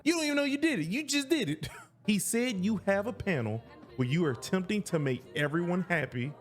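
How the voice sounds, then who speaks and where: A man with a high, very lively voice makes pointed, gloating comments in a played-back clip. Faint music runs underneath him. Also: brisk. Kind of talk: argumentative reaction commentary.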